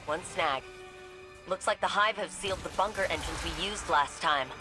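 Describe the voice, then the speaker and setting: A woman's voice: lowish voice, moderate pace, lightly acted. A woman playing a cheeky, practical tech operative giving casual mission instructions in a video game. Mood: brisk, practical. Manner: casual, wry, to the point. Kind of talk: scripted game mission chatter. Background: music.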